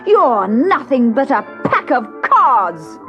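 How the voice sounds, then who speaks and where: A woman's voice: sits high, very animated, slow pace, voice pushed up, full character voice. A woman voicing a bold, polite young girl who speaks emphatically in a storybook reading. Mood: indignant. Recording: dull cassette transfer with music.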